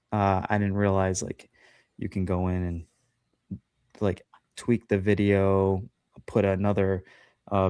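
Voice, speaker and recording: A man's voice: low-pitched, a little mellow, clear, resonant tone, no echo, studio quality. A man chatting as a guest on a livestream podcast, talking loosely with lots of fillers and self-deprecating asides. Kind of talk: casual chat on a livestream.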